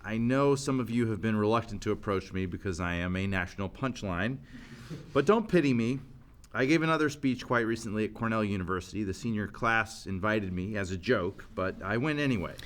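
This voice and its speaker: A man's voice: lowish voice, animated, fairly quick, close to an everyday voice. A man playing an earnest, self-mocking office worker giving a speech in a sitcom script reading. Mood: self-mocking, sincere.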